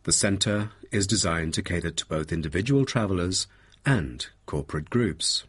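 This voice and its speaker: A man reading a scripted guided presentation as a helpful guide with a husky voice. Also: sits low, animated, normal speed. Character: helpful male guide presenting a park's facilities. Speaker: voice actor reading listening test parts. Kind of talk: scripted narration.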